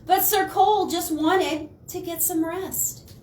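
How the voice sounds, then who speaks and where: A woman reads a children's story in a high, slow voice. She stresses words dramatically and drops in asides to her young listeners. The room rings around her, as if the mic is set some way off. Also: steady tone, darkish tone. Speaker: children's librarian reading picture books aloud. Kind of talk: animated storytime read-aloud for children. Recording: roomy, distant mic, faint noise.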